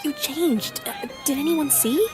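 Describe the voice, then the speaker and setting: Serene female seer for audio drama, acted by a woman, higher register, loving and measured, music bed. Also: expressive. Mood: fond. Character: blind woman seer, calm, loving, portentous.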